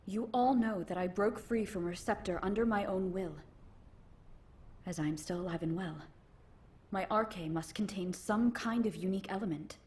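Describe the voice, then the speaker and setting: A woman voicing a proud, solemn warrior from another era in a video game, with formal, measured phrasing. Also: mid-range voice, darkish tone, natural voice. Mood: formal persuasion.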